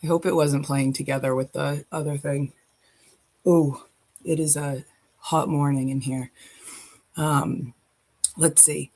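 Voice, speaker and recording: A woman's voice: low voice, steady tone, slow, long pauses, a little mellow, husky. A woman hosting a live stream, chatting loosely and swearing casually as she sets up her screen. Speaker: livestream host.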